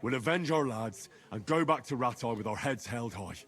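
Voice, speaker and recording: A man's voice: mid-range voice, lively intonation, moderate pace, fairly bright tone, close to an everyday voice. An actor playing an earnest young man who reassures his friend. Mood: determined. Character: earnest young peasant man, loyal and hopeful.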